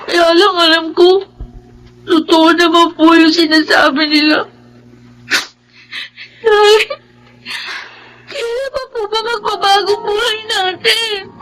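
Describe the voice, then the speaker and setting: A woman's voice: extremely high pitch, fairly even intonation, slow, long pauses, a bit bright, heavily acted. A woman playing a poor, plaintive young daughter with a small childlike voice in a radio drama. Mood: crying, distressed. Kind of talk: Tagalog radio drama acting.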